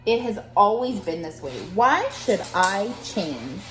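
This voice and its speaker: A woman's voice: medium pitch, very animated, takes it slowly, reverberant, music in the background. A woman reading the lines of a proud, lazy male lion king in a storybook. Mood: haughty. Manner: boastful, grand.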